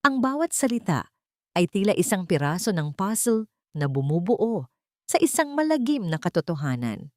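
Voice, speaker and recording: A woman's voice: medium pitch, big pitch swings, moderate pace, dry recording, studio quality. A woman narrating a scripted drama in a solemn, dramatic way.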